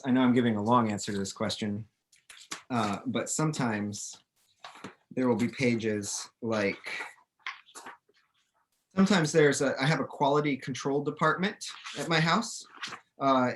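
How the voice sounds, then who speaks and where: Halting, casual middle-aged man. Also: mid-range voice, slight background noise. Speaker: author and illustrator answering questions. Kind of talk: casual chat, explaining to students.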